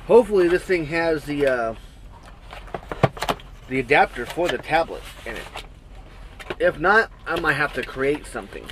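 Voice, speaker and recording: Chatty trucker rambling through an unboxing. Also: male voice, fairly high pitch, expressive, normal speed, long pauses, background noise. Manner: rambling, chatty, thinks aloud with many fillers. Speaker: trucker reviewing gear. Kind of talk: casual unboxing review. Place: small truck sleeper cab, indoors. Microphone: action camera on the cab wall, built-in mic.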